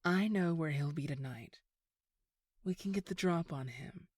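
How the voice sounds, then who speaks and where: Woman playing a slow, sardonic reformed femme fatale. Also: low-pitched, a little mellow, husky, no echo, clean studio sound. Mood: persuasive. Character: unflappable reformed criminal ex-girlfriend turned informant. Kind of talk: audio drama acting.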